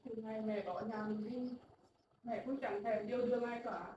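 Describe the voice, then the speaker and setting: A woman playing a remorseful mother reunited with her grown son, speaking tenderly and softly pleading. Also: a little high, steady tone, normal speed, recorded from a distance, slight background noise, lightly acted. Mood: regretful. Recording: echoey, dull, from afar.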